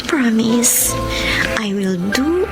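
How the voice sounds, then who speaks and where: A devoted young wife in a radio drama, eager to please. Her voice is on the high side and gentle, deferential to others, with music clearly playing underneath. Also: animated, very slow. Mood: tender, adoring. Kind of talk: Filipino radio drama acting.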